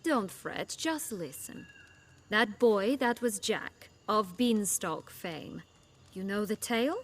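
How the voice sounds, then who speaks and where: A woman's voice: medium pitch, animated. Proud, sardonic fantasy noblewoman. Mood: patient explaining. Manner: sardonic, measured, commanding. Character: proud, cool, sardonic exiled noblewoman with menace. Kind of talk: dramatic fantasy game acting.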